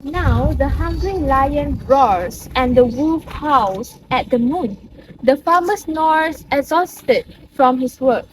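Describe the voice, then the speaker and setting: A woman's voice: sits high, slow, slight background noise, rough recording. A young woman giving an amateur dramatic reading of commanding stage-play characters in modernised Shakespeare, as nobles and fairies. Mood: eerie, declaiming. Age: young adult.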